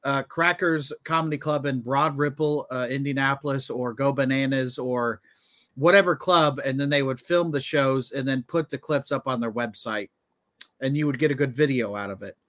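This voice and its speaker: A man's voice: medium pitch, moderate pace, a bit bright, no room sound. A man in his thirties, a comedian and podcast co-host, telling things in a casual conversational way. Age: 30s.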